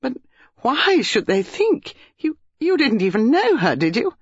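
A reader voicing an excitable, devoted young wife in a breathless, incredulous, pleading manner. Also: mid-range voice, moderate pace, no echo, muffled recording, pitched above the performer's own voice.

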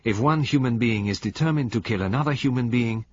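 A man voicing a calm, measured, grave elderly detective. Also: medium pitch, no echo, natural voice. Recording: dull lo-fi recording.

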